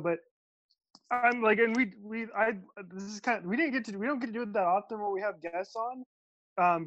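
A man hosting a podcast, asking a guest a question in an enthusiastic, halting way, often restarting his sentences. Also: high-pitched, no echo, highs cut off. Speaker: podcast host. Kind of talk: interview question.

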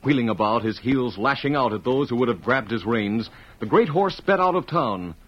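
A man's voice: mid-range voice, animated, moderate pace, husky. A man narrating a western adventure as a scripted old-time radio announcer. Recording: dull old radio recording.

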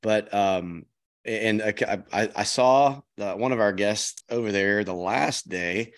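A man's voice: on the low side, slow pace, clean tone. A man hosting a podcast with a strained voice, chatting casually and rambling with restarts. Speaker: podcast host. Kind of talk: casual chat.

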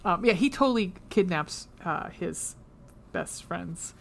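A woman with a noticeably low voice chats loosely on a podcast. She swears freely, wanders between thoughts, and fills pauses with ums. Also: expressive, creaky, slight background noise. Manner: chatty and profane, trails off with ums. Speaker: podcast co-host. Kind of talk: casual chat.

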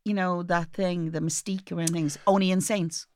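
A woman's voice: lowish voice, steady tone, normal speed, darkish tone, a little hiss or hum. A woman with an Irish accent chatting casually and comically as a podcast co-host, telling things in a chatty, anecdotal way.

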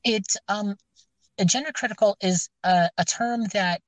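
A woman's voice: mid-range voice, unhurried, dry recording. A woman with a scratchy voice chatting casually, rambling and hesitant with many fillers and restarts. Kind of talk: casual chat. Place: outdoors, near her car.

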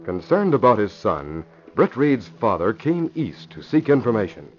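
A man's voice: fairly low pitch, sing-song, normal speed, over music. A man narrating a 1940s radio drama as announcer in a dramatic, declamatory manner. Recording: muffled vintage radio recording.

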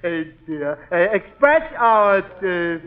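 Slow-witted barfly, stammering, high lively voice, lo-fi 1940s radio broadcast with hiss. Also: male voice, full character voice. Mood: fumbling. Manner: stumbling, groping for words with many uhs. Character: slow-witted male tavern regular, forgetful and stammering. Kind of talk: 1940s radio sitcom acting. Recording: hissy lo-fi old radio broadcast.

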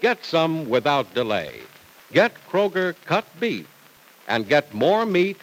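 A man's voice: a little high, wildly swooping pitch, takes it slowly, rough recording. A male radio announcer reading scripted narration and advertising in an old-time style with emphatic salesmanship.

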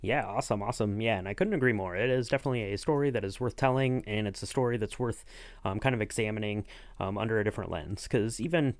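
A man with a fairly deep voice interviews on a podcast in a dry, close recording. He is casual and upbeat about things, and he rambles as he works toward each question. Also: quick pace, no room sound. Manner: rambling, enthusiastic, peppered with "you know". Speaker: podcast co-host acting as interviewer.

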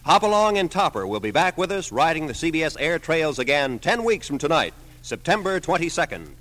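A man's voice: fairly high pitch, big pitch swings, brisk, fairly bright tone. An American man announcing a radio show in a scripted, dramatic, polished 1950s style.

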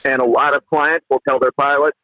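A man's voice: medium pitch, steady tone, fairly quick, pushed and bright, no echo, muffled recording. A man calling in to a talk show, explaining earnestly over a phone-in line. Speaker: caller. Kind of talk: call-in radio talk.

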